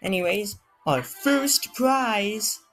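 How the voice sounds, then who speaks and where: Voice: medium pitch, lively intonation, drawn-out words, a bit bright, faint music behind. A gamer hosting a casual let's play, talking in a playful, rambling way that drifts into babble. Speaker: gaming video host.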